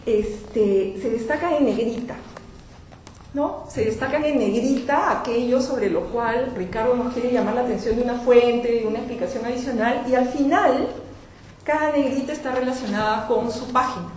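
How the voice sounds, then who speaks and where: A woman with a Peruvian accent commenting on a book at a public panel, speaking in a measured way and pausing to think aloud. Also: a little high, a little mellow. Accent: Peruvian Spanish. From Peru. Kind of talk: spoken commentary at a public event. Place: institute event hall. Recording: very echoey lecture room, faint noise.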